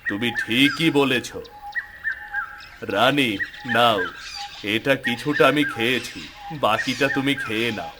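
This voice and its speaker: A man acts out a kind-hearted storybook king, noble and humble. His delivery is slow and medium-pitched, with clear noise in the recording. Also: close to an everyday voice. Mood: gentle. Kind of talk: cartoon fairytale acting.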